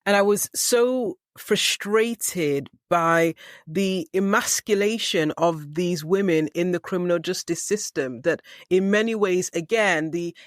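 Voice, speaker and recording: A middle-aged woman from Manchester with an English accent, telling her story as an interview guest in a measured, articulate way with deliberate emphasis. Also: lowish voice, no echo, clean studio sound. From Manchester, England. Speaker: podcast guest, leadership consultant. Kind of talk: reflective personal storytelling in an interview.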